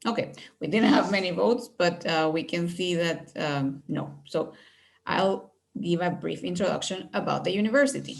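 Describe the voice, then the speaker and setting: A woman's voice: lowish voice, creaky. Woman from Venezuela hosting a webinar. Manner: friendly, measured, with frequent fillers. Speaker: university recruitment director. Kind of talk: online webinar presentation. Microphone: video call.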